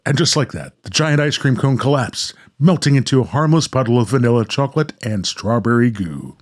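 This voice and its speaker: A man's voice: medium pitch, animated, fairly quick. A man hosting a show, narrating a radio play and talking casually. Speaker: host and narrator.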